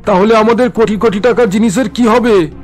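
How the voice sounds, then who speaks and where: A man's voice: sits high, a little fast, a little mellow, soft background music, close to an everyday voice. A man playing an agitated, crooked businessman father in a cartoon drama, asking worried, indignant questions.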